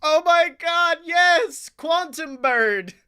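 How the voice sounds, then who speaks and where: A man co-hosting a comedy podcast, chatting casually and brainstorming in an excitable, sweary way. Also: very high voice, extremely slow. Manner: excitable, profane.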